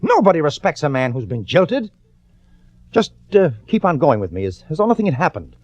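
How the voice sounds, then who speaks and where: Smooth ruthless American prosecutor, commanding, 1940s radio drama, lively intonation, close dry muffled sound. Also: male voice, fairly high pitch, a little fast, no echo. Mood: pleading, pressing. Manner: urgent, commanding, calculating. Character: scheming, smooth, ambitious prosecutor, outwardly friendly, privately ruthless. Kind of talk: 1940s radio acting, with dramatic first-person narration. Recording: dull old-time radio recording.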